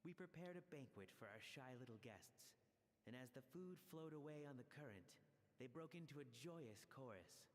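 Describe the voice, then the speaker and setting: A man's voice: medium pitch, lively intonation, moderate pace, a little hiss or hum, lightly acted. Actor as a male adventurer, long echoing reverb. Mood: recollecting. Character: male adventurer narrating his own logbook. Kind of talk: scripted storytelling in a video game.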